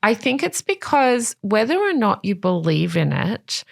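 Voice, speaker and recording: A woman's voice: medium pitch, big pitch swings, a little slow, gravelly. A woman co-hosting a podcast, telling a personal story in a candid, reflective way. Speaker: podcast co-host. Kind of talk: casual podcast chat.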